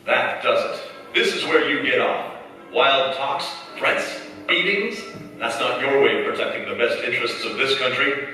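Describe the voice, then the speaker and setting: A man's voice: mid-range voice, moderate pace, pushed and bright, lightly acted. A man playing a grave, urgent narrator in a staged 1940s radio drama, dramatic and intense. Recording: echoey hall, far mic, music behind. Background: music.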